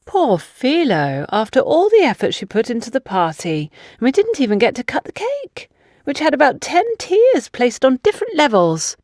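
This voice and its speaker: An older, meddling society mother from an audiobook , voiced by a woman narrator. She is chatty, dramatic and insistent, with swooping, lively intonation on a dry recording. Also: sits high, normal speed, no room sound, voice pushed up. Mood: dramatic recounting.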